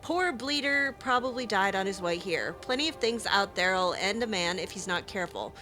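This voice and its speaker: A woman reading out a grumbling male bandit's journal, in a gruff, complaining way. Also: medium pitch, lively intonation, moderate pace, bright, forceful tone, soft background music. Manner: gruff complaining, swears "bleeding".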